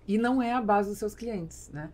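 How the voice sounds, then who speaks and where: A woman's voice: medium pitch, normal speed, warm tone. A woman in her forties with a southeastern Brazilian accent, casually commenting and summing up in a studio podcast conversation. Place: small foam-treated podcast studio. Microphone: boom-arm studio microphone, close.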